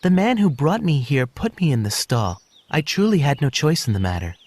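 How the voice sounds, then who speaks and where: A man's voice: a little high, sing-song, moderate pace, a little mellow, voice pushed up. A man voicing a polite young horse. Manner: polite, earnest.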